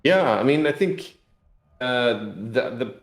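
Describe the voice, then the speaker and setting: A man being interviewed as a game designer, talking casually and explaining in a rambling, halting way with repeated words. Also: medium pitch, lively intonation. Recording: roomy, echoing room sound.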